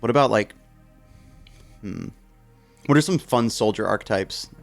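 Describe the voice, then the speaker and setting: A woman player chatting eagerly during a tabletop game, with quick teasing remarks. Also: rumbling low, gravelly, soft background music. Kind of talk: casual chat at a game table.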